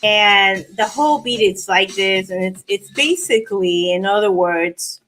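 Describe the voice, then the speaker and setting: A woman's voice: mid-range voice, unhurried, soft background music, low-quality recording. A woman in her forties from Peru, telling a personal story in a casual chat with many fillers and restarts, recorded over a video call. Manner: animated, laughs often, many fillers and restarts. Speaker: co-host.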